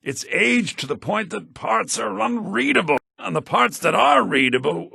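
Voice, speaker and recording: Theatrical bard headmaster, expressive, gravelly voice. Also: male voice, high voice, moderate pace, no room sound, studio quality, full character voice. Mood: dismayed. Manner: formal, declamatory, theatrical. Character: theatrical, scholarly male headmaster of a bards' college. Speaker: headmaster of a bards' college. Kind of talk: fantasy video game character dialogue, with verse recitation.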